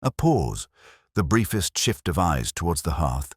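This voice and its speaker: Very deep male story narrator, dry close sound. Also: sing-song, slightly rough, no room sound. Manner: measured, reflective, melancholy storytelling. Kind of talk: scripted literary detective story narration.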